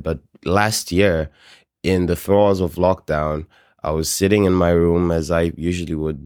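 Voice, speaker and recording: A man's voice: deep voice, a little mellow, no echo, clean studio sound. A man from Zambia, a native Bemba speaker, chatting casually and candidly as a singer-songwriter being interviewed.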